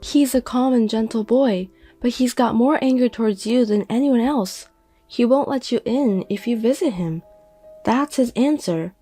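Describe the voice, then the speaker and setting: A woman's voice: on the high side, moderate pace, warm tone, faint music behind, voice pushed up, lightly acted. A woman doing a gloating, mocking mother. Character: scornful mother, middle-aged, sharp-tongued.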